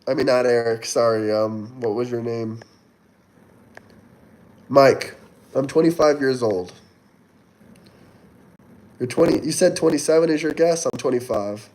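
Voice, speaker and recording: A man's voice: mid-range voice, measured pace, long pauses, a little mellow, rough recording. A man in his twenties from Florida, hosting a casual livestream and answering viewer chat as he reads it out. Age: 20s. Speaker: livestream host.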